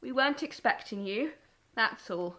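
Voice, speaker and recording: A woman's voice: a little high, expressive, moderate pace, pushed and bright, rough recording. A woman playing an anxious, burdened farm mother in a wartime audio drama, speaking properly and worriedly. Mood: strained, pleading.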